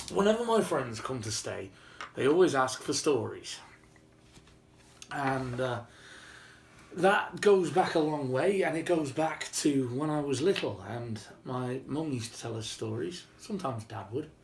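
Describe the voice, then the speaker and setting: Male storyteller, lively pitch swings, whimsical, informal, dramatic pauses, echoey room, faint noise. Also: creaky. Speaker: storyteller and video host. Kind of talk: informal improvised oral storytelling. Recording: roomy, faint background noise.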